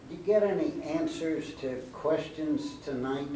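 Man, press questioner, mellow voice, roomy distant pickup. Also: a little high, unhurried. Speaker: reporter. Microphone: off-camera, away from mic. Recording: roomy off-mic sound, faint noise.

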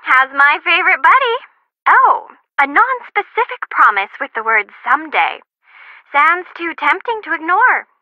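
Young female game sidekick: wry, breezy, very animated, muffled phone sound. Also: extremely high pitch, a little slow, no room sound, full character voice. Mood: playful, teasing. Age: young adult. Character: chatty, cheeky young female criminology student sidekick. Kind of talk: video game character acting over the phone.

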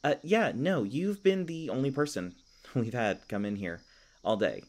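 A man's voice: medium pitch, animated, a little slow, close to an everyday voice. Man playing a flustered, swoopy-voiced elf park ranger. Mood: nervous, chatty. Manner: stammering, awkward, folksy goofy exclamations. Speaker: voice actor in audio roleplay. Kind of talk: audio roleplay acting.

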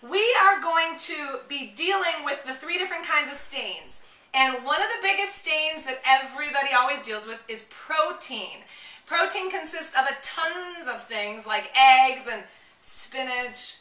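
High, very bright woman in echoey room. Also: a little slow. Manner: enthusiastic, animated, chatty with children. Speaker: mom blogger presenter. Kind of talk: casual product demonstration vlog. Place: home kitchen, indoors. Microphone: camera a few steps away. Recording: roomy, distant camera microphone.

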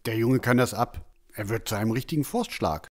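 A man's voice: mid-range voice, brisk, darkish tone, natural voice. A man playing a proud, hearty woodcutter father in a fantasy audio drama, firm and matter-of-fact. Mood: dismissive, firm.

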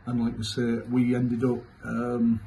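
A man, answering as a football manager after a match in halting, repetitive, emphatic phrases. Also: medium pitch, completely flat delivery, mellow. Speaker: football manager giving a post-match interview. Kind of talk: post-match interview answers. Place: small indoor club press room. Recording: clearly noisy room ambience.

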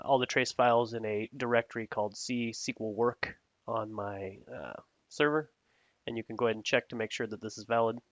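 Guy narrating a screen recording demo, dry close sound, lots of uhs, mid pitch. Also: no room sound. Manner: steady step-by-step walkthrough with frequent uh fillers. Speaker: product technologist giving a software demo. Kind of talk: screen-recorded software tutorial.

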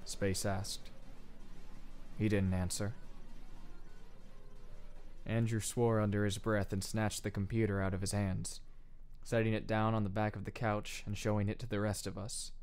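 A man's voice: lowish voice, flat delivery, a little slow, long pauses, darkish tone, smooth, soft background music. A man reading a scripted first-person story as an audiobook narrator.

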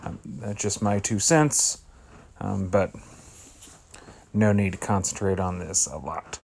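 Low-voiced middle-aged man, casual commentary. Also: takes it slowly, vocal fry, a little mellow, breathy edge. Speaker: vlogger.

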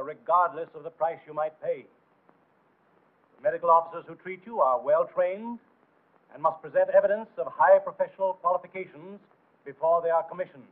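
Male instructional narrator, fairly high voice, formal 1940s military delivery, authoritative, emphatic, thin band-limited old film soundtrack. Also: narrow, tinny audio.

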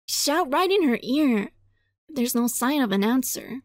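High-voiced woman reading a visual novel aloud. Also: measured pace, no echo, studio quality. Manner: expressive, dramatized read-aloud with giggly asides. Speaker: gaming video host. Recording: music behind the voice. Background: game music.

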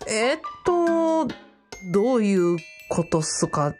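A woman's voice: fairly high pitch, sing-song, slow pace, a little mellow. A woman takes the part of a young male student in a radio play. He is earnest and easily flustered, with casual, deferential speech. Faint music plays behind the voice. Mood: bewildered. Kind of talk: radio drama acting.

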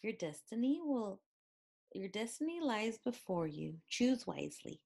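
A woman reading a picture book aloud in an expressive, deliberate way. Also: a little high, vocal fry, husky. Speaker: storybook reader.

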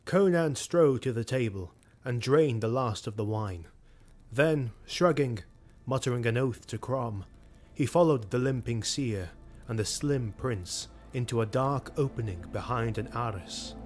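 Male narrator, played by an actor, medium pitch, solemn, measured, scripted fantasy audio drama, music underneath. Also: darkish tone, natural voice. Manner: measured, literary. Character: solemn male storyteller of a sword-and-sorcery tale.

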